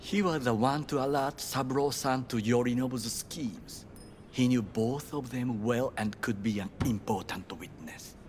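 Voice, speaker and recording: An actor playing a grave, proud middle-aged Japanese ex-bodyguard in a video game, speaking formal, clipped English with a Japanese accent. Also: male voice, medium pitch, moderate pace, a little mellow, slight background noise, lightly acted. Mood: stern, guarded. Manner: formal, clipped, stern phrasing. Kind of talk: video game dialogue acting.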